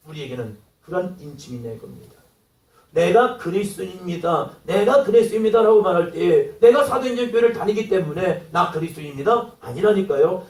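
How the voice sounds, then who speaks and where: Male pastor, sermon delivery, high and animated, plays out dialogue, distant mic in a reverberant hall. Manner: acts out quoted dialogue, rising to exclamations.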